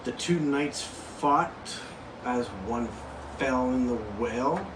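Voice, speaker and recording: A young man co-hosting a board game show, laid-back and laughing, narrating his story slowly. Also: mid-range voice. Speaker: co-host. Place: small room indoors. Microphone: far camera mic. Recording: echoey room, distant mic, background noise.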